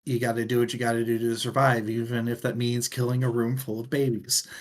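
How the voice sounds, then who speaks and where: Guy cohosting a book talk show, medium pitch, excited and chatty, swears a bit. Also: brisk, a crowd in the background. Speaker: co-host of a book discussion show. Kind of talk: casual book chat, reading out listener comments.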